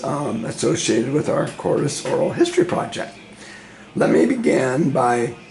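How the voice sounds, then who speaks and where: Hoarse man, gravelly, halting with fillers, family interviewer, echoey room, faint hiss. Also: mid-range voice, a little mellow. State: hoarse from laryngitis. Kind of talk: family oral history interview. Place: room in a ranch home.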